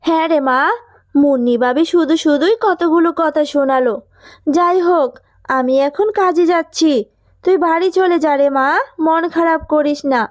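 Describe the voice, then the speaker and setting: Woman voicing a high-pitched gentle fox mother. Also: normal speed, dry recording, studio quality, natural voice. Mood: soothing, weary. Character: poor widowed fox mother, gentle and anxious. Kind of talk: children's cartoon dubbing.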